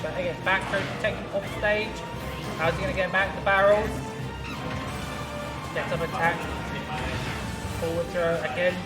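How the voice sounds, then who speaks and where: A hoarse man giving solo play-by-play commentary on a fighting game match, with quick analysis and dry self-mocking asides. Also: high voice. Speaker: esports commentator. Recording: echoey room with loud music. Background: loud music.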